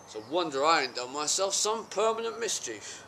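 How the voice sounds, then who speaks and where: Man playing indignant husband, lively high pitch. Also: moderate pace, creaky, full character voice. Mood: sarcastic, aggrieved. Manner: wheedling, indignant, self-justifying. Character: cheeky, aggrieved working-class husband making flimsy excuses. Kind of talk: colloquial comic stage monologue. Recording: amateur home video with background noise.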